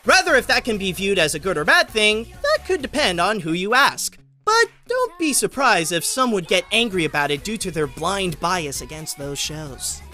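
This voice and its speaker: Guy doing scripted review stuff, sharp bright voice, faint music under it. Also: high-pitched, sing-song, moderate pace. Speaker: animation reviewer. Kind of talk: scripted commentary. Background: faint background music.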